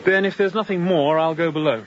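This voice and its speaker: A man plays a young sea captain in a radio drama, with a lightly raised pitch. He speaks in clipped, guarded phrases and gives orders curtly. The sound is somewhat lo-fi. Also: brisk, slight background noise, natural voice. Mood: formal, commanding. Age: young adult.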